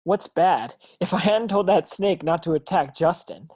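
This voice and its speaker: A man's voice: high-pitched, moderate pace, a little mellow, dry recording. A man reading an earnest, defensive young schoolboy wizard in a script read. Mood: defensive confusion. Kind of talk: online script table read.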